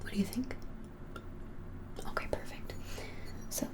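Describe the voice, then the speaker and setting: A woman in her twenties whispering softly and without hurry in an ASMR roleplay as a shop keeper, often hesitating. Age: 20s. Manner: soft whispering, hesitant and unhurried. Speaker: ASMR creator playing a witch shop keeper. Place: small plain-walled room, indoors.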